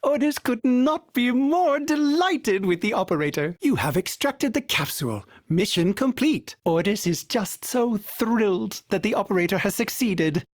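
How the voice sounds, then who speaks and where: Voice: expressive, a little slow, hardly any pauses, dry recording. A performer voicing a polite, fussy male starship AI who speaks of himself in the third person, through a glitchy synthetic filter, with dry wit and a wistful tone. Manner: courteous, dry wit, sudden pitch jumps. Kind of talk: game character voice lines.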